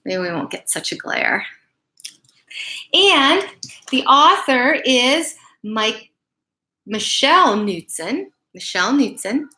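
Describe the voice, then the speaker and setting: A woman reads a children's picture book aloud in a bright, fairly high voice. Her delivery is unhurried and very expressive, leaning on key words and speaking directly to young listeners. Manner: deliberate, emphatic phrasing, addresses young listeners. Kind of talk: expressive read-aloud bedtime story with asides.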